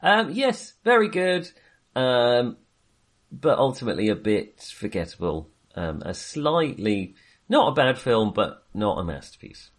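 A man co-hosting a podcast, talking casually about films in a hesitant, halting way. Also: medium pitch, big pitch swings. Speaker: podcast co-host. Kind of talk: casual film discussion.

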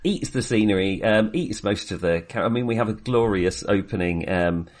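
A man with a fairly low voice talks through films as a podcast co-host. His delivery is casual and hesitant, wandering and doubling back to rephrase. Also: animated, moderate pace. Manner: hesitant, rambling, self-correcting. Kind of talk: casual film discussion.

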